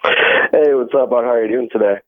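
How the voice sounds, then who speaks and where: A man's voice: medium pitch, fairly quick. An American man calling a radio show by phone, chatting casually and rambling his way toward the point. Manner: rambling, circling before the point. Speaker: first-time radio caller. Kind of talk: casual chat with a radio host. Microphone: telephone. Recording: narrow, tinny phone line on radio.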